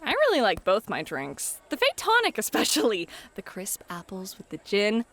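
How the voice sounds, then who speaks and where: A woman's voice: medium pitch, moderate pace. A woman playing a playful, teasing fairy hostess and game master in a flirty ASMR roleplay, talking straight to the listener. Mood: cheerful. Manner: flirty, teasing, excitable, addresses listener directly.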